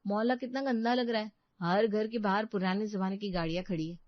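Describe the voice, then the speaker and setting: A woman voicing an eager, vain and bossy newlywed vlogger in an animated moral story, showy and insistent. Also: medium pitch, fairly quick, no room sound, lo-fi sound, natural voice. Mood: disdainful.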